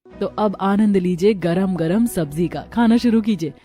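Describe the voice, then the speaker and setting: A woman's voice: medium pitch, normal speed, dark tone, soft background music, lightly acted. A woman voicing a hardworking, patient young daughter-in-law in a narrated story. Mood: patient, eager. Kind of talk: acted dialogue in a narrated story.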